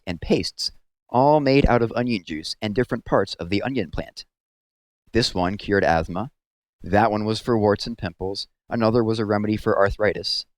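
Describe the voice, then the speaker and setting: A man with a fairly low voice reads a story aloud. His phrasing is measured and expressive, in the manner of storybook narration. The sound is dry and close, without room echo.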